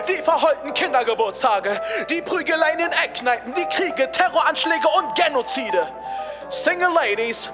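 A hype wedding mc, super high pitched, brash showman, party music under it, radio play. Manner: rousing showman, drawn-out calls to the crowd. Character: brash male wedding party MC hyping guests. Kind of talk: radio drama, party announcing.

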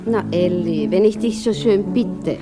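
A woman's voice: lowish voice, measured pace, natural voice. An actress in a German radio drama playing a proper, nervous widowed mother who can be tender or indignant. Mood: tender, coaxing. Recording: studio radio play with music behind.

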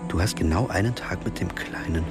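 Low male voice, an actor's, haunted and anxious fugitive in a radio drama, loud music. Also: a little fast, lightly acted. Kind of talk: radio play acting.

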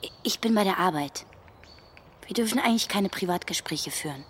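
A woman's voice: medium pitch, lively intonation, brisk, husky. An actress playing a guarded, defiant young woman activist in a radio drama. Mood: guarded, cold. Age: young adult. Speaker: actor. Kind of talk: radio drama dialogue and monologue.

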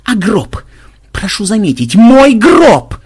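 A narrator voicing an irritable male vampire warlock who is exasperated, sarcastic and loudly indignant in an audiobook. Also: mid-range voice, sing-song, moderate pace, breathy edge. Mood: outraged.

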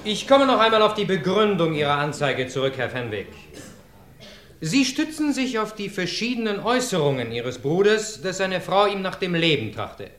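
An actor playing a persistent lawyer cross-examining a witness in a radio drama, formal and probing. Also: male voice, high-pitched, sing-song, normal speed, fairly bright tone, reverberant. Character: sharp, persistent male courtroom lawyer. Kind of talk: radio play acting.

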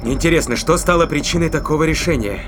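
An actor playing a ruthless, mocking spaceship captain in a science-fiction audio play, taunting and commanding. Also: male voice, fairly high pitch, fast, noisy background, natural voice. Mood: intent reasoning.

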